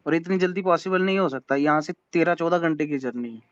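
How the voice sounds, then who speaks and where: A man's voice: fairly high pitch, brisk, no echo. A man on a phone call, asking questions in a polite, deferential way. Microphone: telephone. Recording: dull lo-fi recorded phone call.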